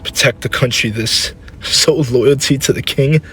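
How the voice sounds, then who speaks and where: A man's voice: mid-range voice, moderate pace, bright, forceful tone, breathy edge, faint music behind, voice pushed up. A man voicing a proud, menacing young warrior son, heated, defiant and scornful, in a dramatic story.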